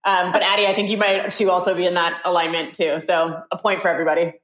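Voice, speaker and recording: A woman co-hosting a podcast, asking quick questions in a relaxed, teasing way. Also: fairly low pitch. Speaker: podcast co-host. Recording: roomy echoey room.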